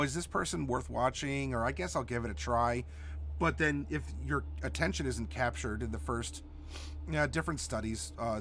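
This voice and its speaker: Stuffy, hoarse man hosting a stream. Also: medium pitch, fairly bright tone, a little hiss or hum. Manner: rambling, many restarts and fillers. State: stuffy with a cold, slightly hoarse. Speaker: stream host. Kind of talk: casual live-stream talk.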